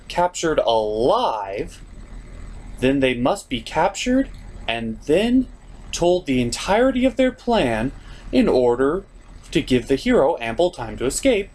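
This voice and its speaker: A man's voice: on the high side, sing-song, measured pace, a little mellow. A man hosting a scripted comic review show, speaking in a sarcastic, theatrical way. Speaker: host of a puppet cartoon review show.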